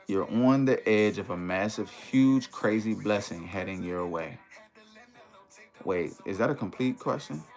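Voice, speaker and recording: A deep-voiced American man hosts a casual livestream over music. He talks to his viewers in a slangy, conversational way, dropping in tags like "you know" as he goes. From United States, Maryland and Washington DC area. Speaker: livestream host chatting with viewers.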